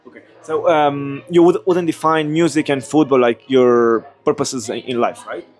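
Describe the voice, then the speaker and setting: A man's voice: mid-range voice, steady tone, moderate pace, soft background music. A man interviewing someone, asking questions hesitantly with many fillers.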